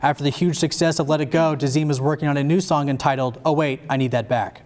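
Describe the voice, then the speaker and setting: A man's voice: fairly high pitch, steady tone, quick pace, darkish tone. A young American man anchoring a scripted satirical student newscast. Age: young adult.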